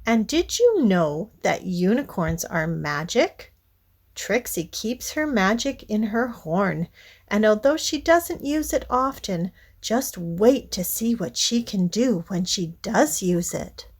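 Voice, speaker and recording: A woman narrating a children's storybook read-aloud in a deliberate way, addressing young listeners directly. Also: medium pitch, sing-song.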